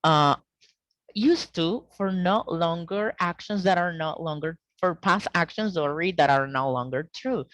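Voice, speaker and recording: A woman teaching an English grammar lesson over a video call, explaining slowly and checking in with "okay?" often. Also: fairly low pitch, fairly bright tone, dry recording. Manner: slow, deliberate explaining with frequent "okay?" checks.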